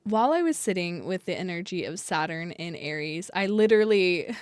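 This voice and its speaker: A woman's voice: on the low side, very animated, brisk, clean tone, no echo. A young woman, sick with a cold and sounding nasal, talking casually to camera as an astrologer, rambling and laughing often. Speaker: astrologer and channel host.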